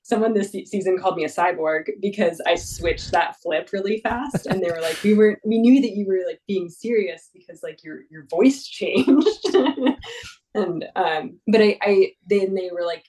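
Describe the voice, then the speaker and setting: A woman's voice: mid-range voice, moderate pace, mellow, breathy edge, distant mic, poor audio. A young woman, a mountain guide, talking casually in an interview, laughing often and full of fillers and restarts.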